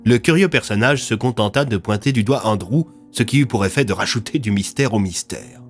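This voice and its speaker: A man's voice: on the low side, brisk. Male storyteller over background music. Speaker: narrator and creator of an audio fiction serial. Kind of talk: scripted dramatic storytelling narration.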